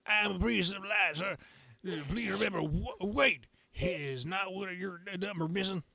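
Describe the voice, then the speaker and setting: A man playing a prim, earnest priest in a polite, halting manner for a comic-book reading. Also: high-pitched, vocal fry, crowd noise, pitched above the performer's own voice.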